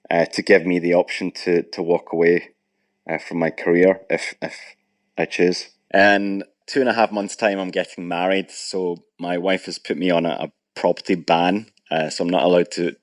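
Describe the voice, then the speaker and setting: A Scottish man in his thirties, speaking as an interviewed guest in a measured, thoughtful way with pauses. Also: low-pitched, no room sound. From Scotland, Ayrshire. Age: 30s. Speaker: guest property investor. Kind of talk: recorded interview answers.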